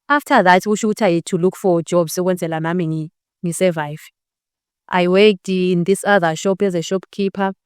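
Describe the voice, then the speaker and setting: A woman's voice: lowish voice, a little mellow, clean tone, no echo, studio quality. A woman in her twenties with a Zimbabwean accent and Ndebele as her first language, earnestly telling her personal story as an interview guest and switching between English and her own language. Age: 20s. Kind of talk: personal testimony in a documentary-style interview.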